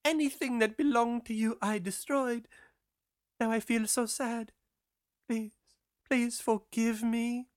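Storyteller putting on a high, plaintive young woman. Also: steady tone, slow, pauses often, no echo, studio quality, natural voice. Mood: remorseful. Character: young Spanish sweetheart, tearful and easily upset.

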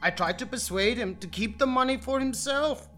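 A calm, reassuring friend, as a storybook narrator voices him explains in a measured, earnest way. The tone is bright and crisp, with soft music underneath. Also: medium pitch, voice pushed up, lightly acted.

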